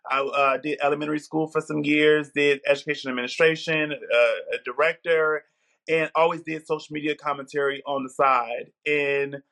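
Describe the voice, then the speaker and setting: A man from the Midwest, in his thirties, telling his story as an interview guest in an outspoken, animated way. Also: fairly high pitch, moderate pace. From Milwaukee, Wisconsin. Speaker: guest, TV producer. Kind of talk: casual interview talk.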